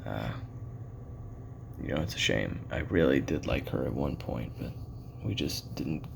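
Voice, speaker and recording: A man acting out a weary, regretful former assassin with an extremely low voice, thick with gravel and fry. He confesses his memoirs in a conversational audio-drama monologue, halting and correcting himself as he muses. A faint noise sits behind the recording. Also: full character voice. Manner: halting, musing, trailing pauses and self-corrections.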